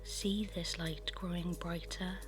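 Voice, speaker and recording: A woman leads a guided meditation in a soft, breathy half-whisper. Her voice is on the low side and very unhurried, with a soothing delivery. Music plays clearly behind her. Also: fairly even intonation. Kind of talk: guided meditation, scripted narration.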